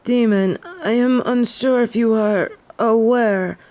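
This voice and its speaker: A proud, snobby fallen angel of judgment, acted by a woman, suffering through a head cold. The voice is fairly high and very measured, with haughty, old-fashioned formal wording suited to a dramatic audio roleplay. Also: steady tone, warm tone. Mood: proud, defensive. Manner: haughty, formal, archaic phrasing. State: sick with a head cold, sore throat.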